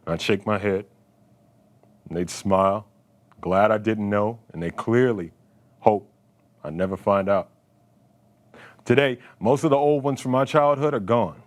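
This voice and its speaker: Man in his late thirties, lowish voice, spoken-word poet, dramatic storytelling, deliberate emphatic phrasing. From Philadelphia, United States. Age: late 30s. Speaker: poet performing his work.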